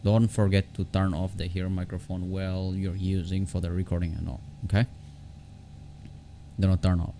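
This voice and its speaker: A man hosting an unscripted software tutorial in a halting, repetitive way, often restarting his phrases. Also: deep voice, level tone, slight background noise. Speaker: tutorial host.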